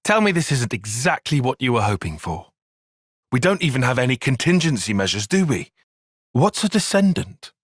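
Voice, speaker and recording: Precise young British tactician, lively pitch. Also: male voice, normal speed, no room sound, clean studio sound. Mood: measured, serious. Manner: formal, precise, bookish wording. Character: earnest, clipped, analytical young male soldier and tactician. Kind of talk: video game cutscene acting.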